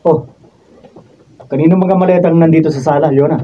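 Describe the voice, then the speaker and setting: An actor playing a greedy, hot-tempered bully of an older brother in a Filipino radio drama, brash and menacing. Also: male voice, a little high, fairly even intonation, a little fast, lightly acted. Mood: curious, scheming. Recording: distant, dull, noisy raw studio video.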